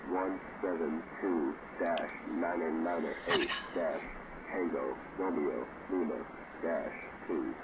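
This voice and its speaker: An actor playing a procedural park maintenance worker talking matter-of-factly over a noisy two-way radio in a horror tape. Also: male voice, rumbling low, fairly even intonation, takes it slowly, a crowd in the background. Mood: procedural, troubled. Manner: matter-of-fact, technical, procedural.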